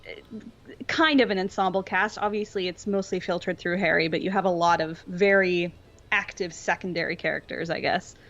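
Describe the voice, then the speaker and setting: A woman's voice: fairly low pitch, fairly even intonation, normal speed, fairly bright tone, muffled recording. A woman speaking as a podcast guest in informal, casual chat, often peppering her sentences with "like".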